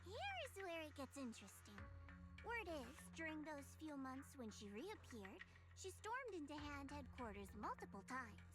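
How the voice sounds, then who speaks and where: A woman's voice: high-pitched, big pitch swings, moderate pace, over music. An actress playing a cool female intelligence agent in an anime game, knowing, dismissive and matter-of-fact.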